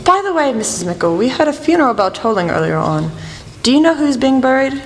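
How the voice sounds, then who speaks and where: Highish voice, echoey hall, woman as learned lady detective, unsentimental, brisk, radio play. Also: big pitch swings, slight background noise. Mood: dry, brisk. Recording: echoey hall, music clearly behind.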